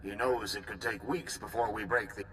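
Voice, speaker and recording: An actor playing a blunt male supreme commander in a video game, brisk and authoritative. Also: on the low side, steady tone, fairly bright tone, a little hiss or hum, lightly acted. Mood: commanding. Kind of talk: game voice acting.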